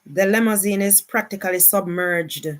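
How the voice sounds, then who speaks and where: A woman with a Jamaican accent giving casual, unscripted live commentary as a streamer, often repeating her exclamations. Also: mid-range voice. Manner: unhurried, repetitive exclamations.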